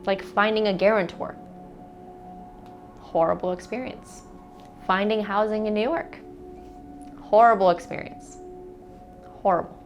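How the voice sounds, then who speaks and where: A woman's voice: medium pitch, steady tone, measured pace, pauses often, highs cut off, faint music behind. A young American woman answering interview questions in a casual, chatty way, with lots of "like" fillers. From United States. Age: young adult.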